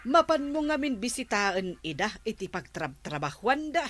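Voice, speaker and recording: Matalas at mapilit na taga-barangay. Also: female voice, on the high side, big pitch swings, moderate pace, fairly bright tone, faint music behind. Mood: insistent. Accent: Ilocano. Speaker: radio drama actor. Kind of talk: broad Ilocano radio comedy drama.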